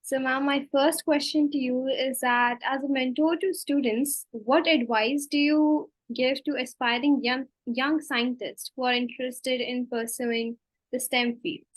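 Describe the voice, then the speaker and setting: A woman's voice: high voice, steady tone, measured pace, dry recording, rough recording. A young woman from India with an Indian English accent, hosting an interview politely and stumbling over a few words. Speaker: interviewer, host.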